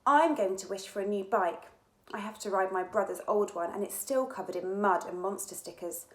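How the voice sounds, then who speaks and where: A woman voicing an excitable, eager young girl on an adventure in a children's storybook. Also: medium pitch, moderate pace, lightly acted. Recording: echoey room sound.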